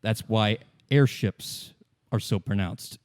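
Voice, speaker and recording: Male game master, deep-leaning and soft-edged, recorded close and dry; solemn, deliberate lore exposition. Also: smooth, no echo.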